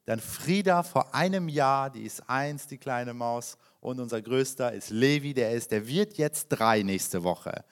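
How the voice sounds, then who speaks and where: A man in his thirties who grew up in Iran, speaking from the front of a church as a visiting pastor. He talks at a mid pitch, friendly and personal, often pausing on fillers and restarting his sentences. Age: 30s. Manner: warm, chatty and personal, with frequent fillers and restarts. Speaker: guest pastor. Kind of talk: sermon introduction to a congregation. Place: church hall.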